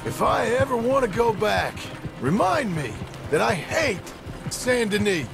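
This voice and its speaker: An actor playing a gruff, weary outlaw in a Western video game, with a gravelly American drawl and a terse, dry delivery. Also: male voice, high voice, big pitch swings, a little slow, over music, heavily acted. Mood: wry, guarded.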